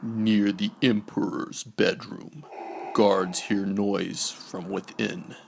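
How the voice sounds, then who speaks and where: Very slow, creaky-voiced man reading a bedtime story. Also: on the low side, flat delivery, a little hiss or hum. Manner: hushed, measured rhyming delivery. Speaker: storybook narrator. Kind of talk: bedtime story read-aloud.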